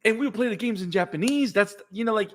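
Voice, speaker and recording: A man from Puerto Rico, a native Spanish speaker with a Puerto Rican accent, talking excitedly as a podcast co-host in casual chat. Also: high voice, fast talker. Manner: excited, repeats words when fired up.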